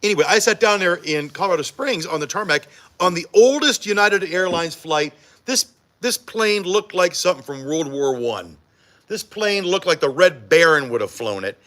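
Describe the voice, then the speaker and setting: A man co-hosts a live morning TV show with a bright, ringing voice that is a little high for a man. He jokes, teases and pokes fun at himself, and his pitch swings widely as he talks. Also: expressive, normal speed. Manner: jokey, teasing, self-mocking. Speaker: morning TV news co-host. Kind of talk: live behind-the-scenes morning show banter. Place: TV studio during a live broadcast.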